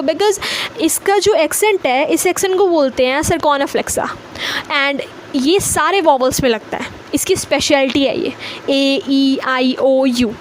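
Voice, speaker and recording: High-voiced young Indian-accented woman teaching in a chatty, emphatic way; light noise underneath. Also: poor audio. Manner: chatty, emphatic, repeats points. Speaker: language teacher. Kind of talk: casual online lesson mixing Hindi and English.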